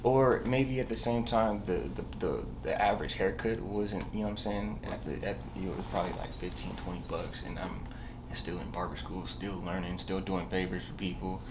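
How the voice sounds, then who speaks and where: A young man talks in a low voice. He rambles casually, hesitating and leaning on slang, like a guest telling his own story. The recording has clear background noise. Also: fairly even intonation, fairly quick, hardly any pauses. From Southern California, USA. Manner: slangy, rambling, hesitant, punctuated with bro and you know. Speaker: podcast guest, barber.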